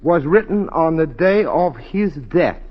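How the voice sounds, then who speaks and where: An actor playing a discreet, obsequiously polite confidential clerk with formal, measured speech in a 1940s radio drama. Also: male voice, fairly high pitch, lively intonation. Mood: deferential, measured. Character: discreet, deferential male confidential clerk, quietly cunning. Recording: muffled 1940s radio recording.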